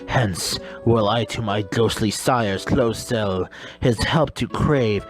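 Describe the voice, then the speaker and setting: Dreamy lovesick young man put on by a comic dubber, lowered voice, slow earnest verse, music beneath. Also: lightly acted. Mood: tender. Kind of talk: comic Shakespeare dub.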